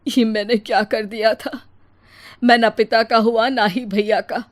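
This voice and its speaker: A woman's voice: fairly high pitch, steady tone, measured pace, close to an everyday voice. A woman voicing a frightened, remorseful younger brother in a moral story, pleading emotionally.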